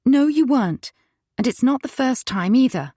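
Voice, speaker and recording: A woman's voice: fairly high pitch, expressive, brisk, a little mellow, slightly rough, dry recording, clean studio sound, voice pushed up. A woman voicing an anxious, tearful young wife. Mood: defiant. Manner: timid, shaky, pleading. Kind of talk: audiobook character voice.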